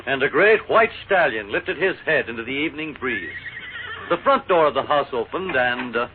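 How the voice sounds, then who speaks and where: Theatrical American announcer, tinny old radio. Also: male voice, medium pitch, animated, normal speed. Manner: theatrical, declamatory. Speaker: announcer and narrator. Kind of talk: 1940s radio drama narration. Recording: tinny, noisy 1940s radio broadcast.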